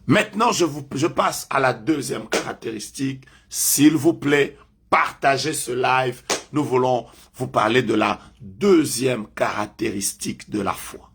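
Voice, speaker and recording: A French-speaking man leading a live online prayer as a prophet, making emphatic, repetitive declarations that suddenly rise loud. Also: medium pitch, unhurried, a little hiss or hum.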